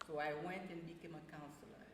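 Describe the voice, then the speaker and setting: A woman in her sixties with a Haitian accent, telling stories in a casual interview in an animated way. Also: deep voice. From Port-au-Prince, Haiti. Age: 60s. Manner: animated, quick to laugh. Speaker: interview guest, retired school counselor and teacher. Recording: echoey reverberant room with noise.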